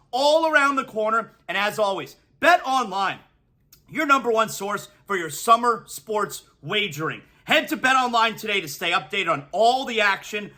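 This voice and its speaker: A man in his forties hosting a solo sports podcast, talking in an energetic, excitable way and reading sponsor spots between riffs on the games. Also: high-pitched. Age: 40s. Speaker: sports talk host.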